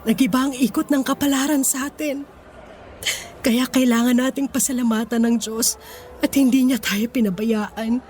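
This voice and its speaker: A woman's voice: sits high, fairly even intonation, moderate pace, a little mellow, slight background noise, close to an everyday voice. A woman acting in a Filipino radio drama as a forgiving, grateful older sister, speaking in an earnest, sentimental way. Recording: music behind the voice.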